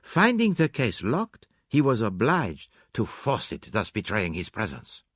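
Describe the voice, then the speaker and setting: A man voicing an excitable, theatrical foreign detective, emphatic and dramatic, in an audiobook. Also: lowish voice, unhurried, husky, no room sound, studio quality, full character voice. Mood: intent. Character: excitable, theatrical foreign male detective, fastidious and self-reproaching.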